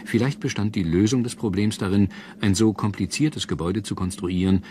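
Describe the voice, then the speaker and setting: A man reading scripted documentary narration in a measured, formal manner. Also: on the low side, fairly even intonation, mellow, a little hiss or hum. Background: background music.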